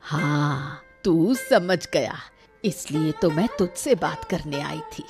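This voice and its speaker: A woman's voice: deep voice, takes it slowly, creaky, over music, heavily acted. A woman voicing a greedy, bossy, scheming old village woman in a cartoon moral story.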